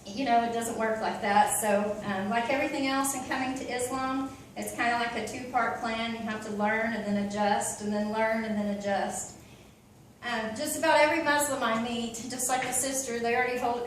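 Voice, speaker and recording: A woman's voice: a little high, heavy reverb, recorded from a distance, rough recording. Oklahoma lady telling her story, lots of ums, faint room hum behind. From Oklahoma, USA. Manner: chatty and open, many ums and you-knows, laughs easily. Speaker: convert giving a talk. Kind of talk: casual personal talk.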